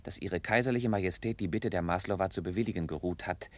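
An actor playing a formal, discreet tsarist prison official in a German radio play, speaking in a measured way. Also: male voice, sits low, natural voice. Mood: official, composed.

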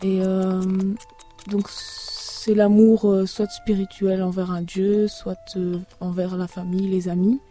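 A woman from Belgium who writes the lyrics for a duo, answering interview questions haltingly with many fillers. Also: medium pitch, little pitch movement, mellow, soft background music. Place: backstage after a concert.

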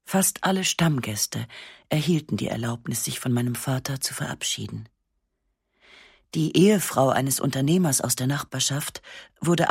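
A woman narrating a literary text in the first person, with a measured, restrained and sober delivery on a close studio microphone. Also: extremely low pitch, creaky, slightly rough, no room sound. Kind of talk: literary reading of a memoir-like novel.

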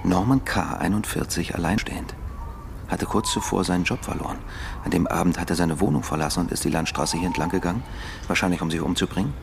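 An actor playing a weary returned husband in a naturalistic radio drama, speaking in a halting, subdued and deliberate way. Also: male voice, deep voice, gravelly, background noise. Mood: grave, confessing. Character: weary husband returned after years of captivity, confessing.

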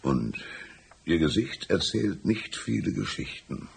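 An actor playing a folksy, shrewd older small-town lawman in a radio drama, patronizing and unhurried, with a gravelly, husky voice. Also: sits low, very animated, heavily acted. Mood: sly, amused. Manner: drawn-out, patronizing, calls listener "my son".

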